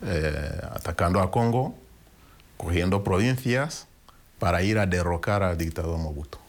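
Deep-voiced man calmly recounting his story. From Rwanda. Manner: reflective, unhurried storytelling with frequent restarts. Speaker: interview guest. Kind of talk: personal testimony in interview.

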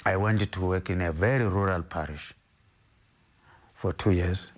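An older Zimbabwean man with a very low, deep voice. He speaks slowly and carefully in an interview, often pausing and restarting his sentences as he recalls his past. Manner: measured, hesitant, with frequent restarts. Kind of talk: interview, recounting his life.

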